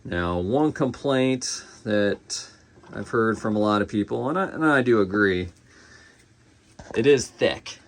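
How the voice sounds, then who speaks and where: A man gives a casual, off-the-cuff product review in a lowish voice with plenty of gravelly fry. He takes his time, talking slowly and conversationally, like a collector walking through a piece. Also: lively intonation.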